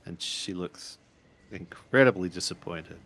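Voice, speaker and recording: Low-voiced man improvising game narration. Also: a little slow, fairly bright tone. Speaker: tabletop role-playing player or storyteller.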